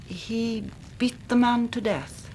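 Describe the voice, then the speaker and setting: Anxious, careworn English gentlewoman, rumbling background. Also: slow pace, a little hiss or hum. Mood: grave, hushed. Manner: formal, precise, dramatic narrating with hushed moments. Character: anxious, careworn young Victorian gentlewoman recounting family tragedy. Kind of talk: British radio drama acting.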